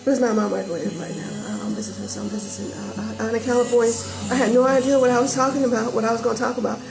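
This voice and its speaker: Fast-talking Southern woman with a gravelly edge. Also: a little high, expressive. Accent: Southern US, Georgia. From born in Maryland, raised in Georgia. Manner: rambling, restarts often, chatty and frank. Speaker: vlogger. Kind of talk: casual chat to camera. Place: visiting a home in California. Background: music playing in the background.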